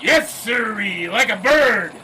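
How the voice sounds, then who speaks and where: Blustery middle-aged newspaper boss, radio drama. Sharp bright tone, swooping delivery, breezy enthusiasm, slight background noise. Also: male voice, lively intonation, takes it slowly, full character voice, rough recording. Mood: cheerfully bossy. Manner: booming, enthusiastic, careless with details. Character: blustery, excitable male newspaper boss, cheerfully scatterbrained. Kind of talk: period radio drama acting.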